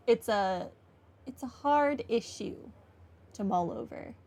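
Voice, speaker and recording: A woman's voice: a little high, animated, takes it slowly, darkish tone. A woman giving casual commentary on a game playthrough, wryly thinking aloud. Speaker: gaming streamer. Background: game music.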